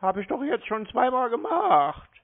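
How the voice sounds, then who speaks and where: A man's voice: very high voice, very animated, moderate pace. A man on a prank phone call, talking hesitantly and acting clueless. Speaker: prank caller playing along with phone scammers. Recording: dull, lo-fi phone call audio.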